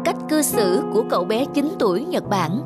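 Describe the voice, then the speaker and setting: Female narrator, scripted moral story, higher register, music bed. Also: expressive, normal speed.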